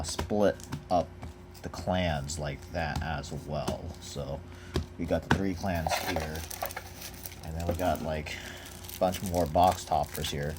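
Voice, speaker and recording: A young man with a very low voice talks slowly and loosely, filling gaps with ums and uhs as he opens packages. The sound is a plain home recording with steady background noise and the rustle of packaging nearby. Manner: rambling, relaxed, many fillers. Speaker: card collector unboxing. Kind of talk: casual unboxing commentary. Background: crinkling of packaging.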